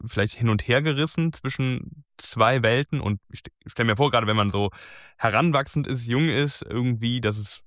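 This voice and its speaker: A man's voice: mid-range voice, moderate pace, a bit bright, dry recording, studio quality. A man hosting a casual conversation, talking hesitantly and searching for his words. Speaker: host.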